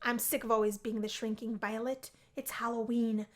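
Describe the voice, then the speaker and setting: A woman's voice: a little high, little pitch movement, moderate pace, close to an everyday voice. A woman voicing a shy, anxious young college woman in a visual novel, easily flustered. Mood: nervous resolve. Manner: flustered, stammering when upset.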